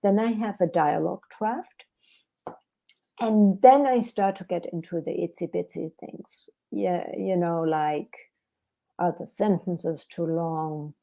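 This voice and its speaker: A woman's voice: on the low side, slow, gravelly, dark tone. A woman from Germany with a German accent, an author talking through her writing process in a podcast interview with many fillers and restarts. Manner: thoughtful, hesitant with fillers and restarts. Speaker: author guest.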